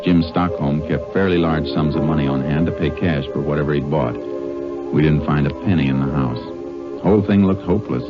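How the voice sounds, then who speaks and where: An American man playing a calm, methodical Texas Ranger in a 1950s radio western, with a terse, matter-of-fact delivery. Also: extremely low pitch, brisk. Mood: sober narrating. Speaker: lead actor and narrator. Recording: dull old radio recording with music.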